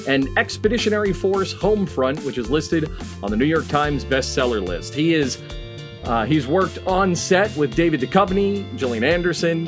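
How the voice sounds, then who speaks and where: Higher-pitched male podcast host. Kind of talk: podcast introduction and interviewing.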